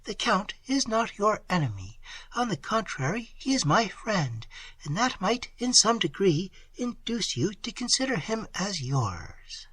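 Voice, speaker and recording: A gentle young woman, as a male reader puts her on, speaking in a hushed, breathy voice pitched well above his own. Her words come soft and trembling, recorded close and dry. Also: very animated, unhurried, exaggerated voice. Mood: soothing.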